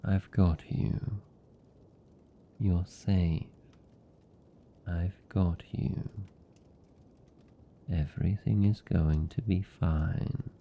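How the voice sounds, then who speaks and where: A man voicing a lonely, tender and possessive vampire count in a British accent, speaking softly and soothingly in an intimate ASMR roleplay. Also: low voice, steady tone, creaky, lo-fi sound, slight background noise, full character voice. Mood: soothing, affectionate. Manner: soft, slow, soothing, lingering pauses.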